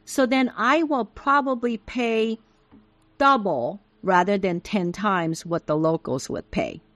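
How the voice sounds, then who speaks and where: A woman's voice: medium pitch, slow, a bit bright, dry recording. A middle-aged woman from Taiwan whose first language is Taiwanese Hokkien, patiently explaining things as an interview guest. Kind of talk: interview answers.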